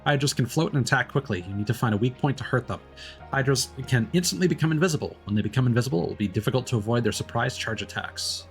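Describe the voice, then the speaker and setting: Mid-pitched male game streamer over faint music. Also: animated, fast talker. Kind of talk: live let's play, reading game text aloud and commenting.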